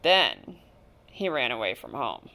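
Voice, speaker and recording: A woman reading a children's picture book aloud, with expressive, deliberate storytelling and stressed key words. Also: rumbling low, vocal fry, poor audio. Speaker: storybook reader.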